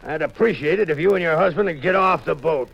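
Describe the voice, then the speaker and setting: Gravelly, hostile ship's captain. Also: male voice, fairly high pitch, quick pace. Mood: hostile, curt. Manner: curt, commanding. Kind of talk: 1950s radio drama acting. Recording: dull, muffled 1950s radio recording.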